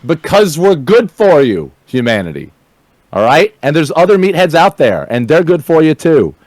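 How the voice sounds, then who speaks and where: A man talking casually, fast and passionately, swearing, repeating and restarting words. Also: a little high, big pitch swings, a little mellow, no echo. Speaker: streamer guest. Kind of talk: casual chat.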